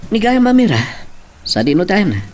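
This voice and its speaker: A man playing an excitable, exclaiming husband in an audio drama, with a Filipino accent. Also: high-pitched, normal speed. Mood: groggy, eager. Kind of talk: Ilocano radio-style drama acting. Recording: distant mic, faint background noise.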